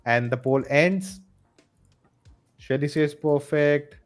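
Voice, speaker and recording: A man's voice: fairly high pitch, slow, smooth, soft background music. A man hosting a live stream, upbeat and reading out chat votes. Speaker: stream host.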